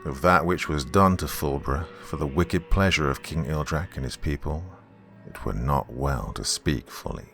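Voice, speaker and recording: A man reads a scripted gothic horror tale as narrator, with a formal, measured storytelling delivery. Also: very deep voice, gravelly, fairly bright tone. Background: background music.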